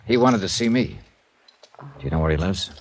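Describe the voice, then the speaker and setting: An American man playing a tough, probing insurance investigator in a 1950s radio drama, with clipped, deadpan delivery. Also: deep voice, fairly quick, slight background noise. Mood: casual, probing. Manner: clipped, pressing, deadpan first-person delivery. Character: tough, probing male insurance investigator, hard-boiled narrator. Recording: old radio recording with music.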